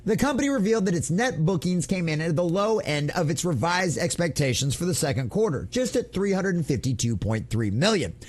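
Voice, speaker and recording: A man's voice: fairly high pitch, very animated, a little fast, hardly any pauses, darkish tone. A man hosting an online gaming news show, giving opinionated commentary in an emphatic, theatrical, mocking way.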